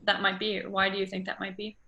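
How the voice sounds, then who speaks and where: A woman's voice: mid-range voice, completely flat delivery, fast talker, pushed and bright, highs cut off. A woman interviewer asking questions in a casual, exploratory, conversational way. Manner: informal, exploratory phrasing with rewordings. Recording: faint background ambient noise.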